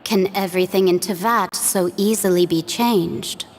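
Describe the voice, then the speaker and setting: A woman voicing a calm, mysterious woman guide in a video game, speaking unhurried with a playful edge. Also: mid-range voice, slight background noise, close to an everyday voice. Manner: unhurried, softly teasing. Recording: long hall reverb.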